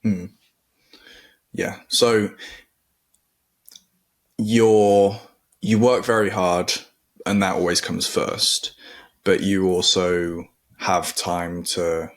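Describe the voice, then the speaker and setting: A man hosting a sports podcast, speaking in a relaxed, chatty, encouraging way. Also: deep voice, very slow, long pauses. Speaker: podcast host. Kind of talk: casual interview chat.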